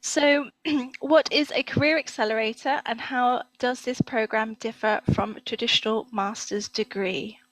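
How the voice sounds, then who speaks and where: A woman's voice: a little high, fairly even intonation, unhurried, few pauses, a bit bright, dry recording, poor audio. Upbeat woman hosting an interview. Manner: upbeat and encouraging, frequent praise. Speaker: interview host. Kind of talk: hosted interview.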